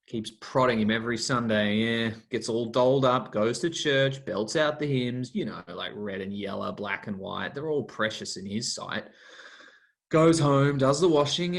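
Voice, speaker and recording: A man's voice: mid-range voice, close to an everyday voice. An actor playing a bitter, hostile young man, mocking and contemptuous. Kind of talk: broadcast drama acting.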